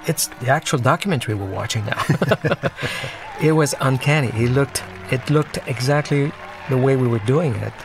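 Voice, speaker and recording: A man giving unscripted commentary on his own film, talking in a conversational, reflective and slightly halting way. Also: mid-range voice. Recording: film soundtrack music playing behind. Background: film soundtrack music.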